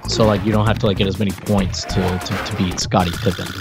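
A low-pitched man talks casually as a podcast co-host. He explains details in a halting, conversational way, with music playing softly behind him. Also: little pitch movement, vocal fry. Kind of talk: casual game review chat.

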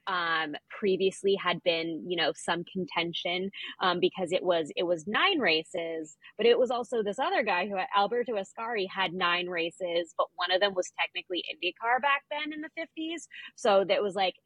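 A woman's voice: fairly low pitch, normal speed, few pauses, fairly bright tone, husky, dry recording, rough recording. A woman co-hosting a podcast, chatting casually about sport with wry asides. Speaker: podcast co-host. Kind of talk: casual sports chat, recapping records.

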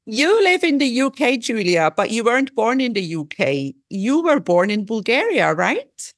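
A woman hosting a podcast in Cyprus, upbeat and quick to laugh as she interviews her guest. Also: fairly high pitch, sing-song, no room sound, studio quality. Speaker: podcast host.

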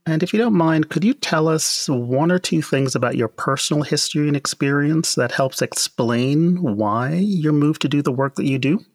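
A man's voice: a little high, moderate pace, hardly any pauses, dry recording, clean studio sound. A middle-aged man from Jamaica who moved to the US as a child, co-hosting an interview podcast. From Jamaica, moved to the US at seven. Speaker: podcast co-host.

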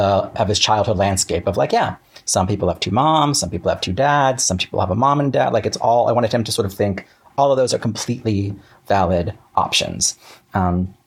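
Low-voiced man, halting and chatty. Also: husky. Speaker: interview guest, writer. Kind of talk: casual conversational interview.

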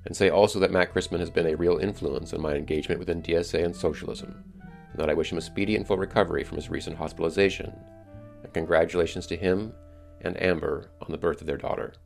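A man hosting a podcast, reading scripted solo narration in a measured, explanatory way. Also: low-pitched, vocal fry. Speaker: podcast host.

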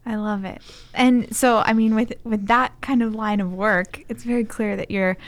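A woman's voice: fairly high pitch, steady tone, moderate pace, no echo. Woman hosting a faith interview. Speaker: interview host. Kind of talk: conversational interview.

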